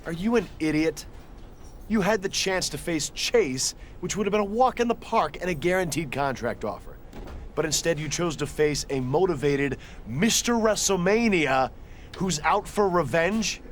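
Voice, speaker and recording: A man's voice: fairly high pitch, lively intonation, moderate pace, a little hiss or hum, lightly acted. A man playing an arrogant, scornful young rival wrestler in a video game cutscene.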